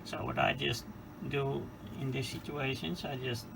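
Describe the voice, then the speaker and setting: Slow man with a very gravelly, creaky voice. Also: medium pitch, little pitch movement. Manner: hesitant, halting, matter-of-fact. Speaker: hobbyist presenter of radio repair. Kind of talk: casual hands-on explanation. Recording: clear background noise.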